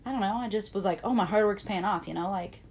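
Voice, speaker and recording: A woman's voice: medium pitch, fast, a little mellow. A woman in her twenties co-hosting a casual podcast, with a dry, teasing way of talking. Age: 20s. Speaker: podcast co-host. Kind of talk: casual chat.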